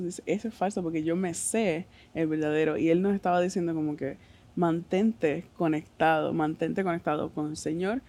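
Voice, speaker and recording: A woman's voice: on the low side, dark tone. A young adult woman, chatting casually as a podcast guest, circling back on her phrases and slipping in English. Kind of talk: casual chat.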